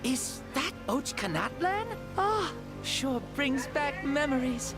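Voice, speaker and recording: A woman's voice: sits high, very animated, slow pace, exaggerated voice. A woman voicing a playful, curious ancient hero in a youthful body for a video game, with a teasing, amused manner. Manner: teasing, amused, wonder-struck. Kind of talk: video game character acting. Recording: game music behind the voice.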